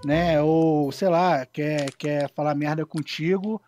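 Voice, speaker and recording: A man's voice: a little high, fairly even intonation, slow pace, a little mellow, faint music behind. A man in a casual podcast chat among friends, slangy and swearing, rambling with many restarts.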